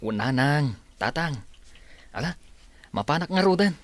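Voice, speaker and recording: A man's voice: on the high side, lively intonation, unhurried, no room sound. A man playing an earnest, respectful young adult son in an Ilocano radio drama, with an Ilocano accent. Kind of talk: radio comedy-drama acting.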